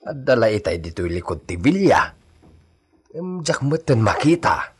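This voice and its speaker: Guy doing flustered old filipino servant, raspy, swoops up and down, hesitant, comic radio drama. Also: mid-range voice, very animated, unhurried. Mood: searching, calling out. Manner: hesitant, stammering, exclamatory. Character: timid, flustered old male villa servant.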